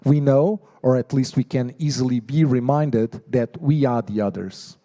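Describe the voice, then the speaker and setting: A man's voice: medium pitch, normal speed, dark tone. A man giving a formal prepared speech at a panel, with a read-aloud delivery. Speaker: speaker at a panel.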